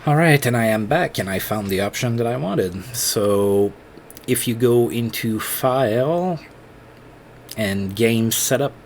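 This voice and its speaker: French-accented guy narrating his hockey manager game, lowish voice, lots of ums and restarts. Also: slight background noise. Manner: thinking aloud, halting with fillers and restarts. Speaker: let's play host. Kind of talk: casual gameplay commentary.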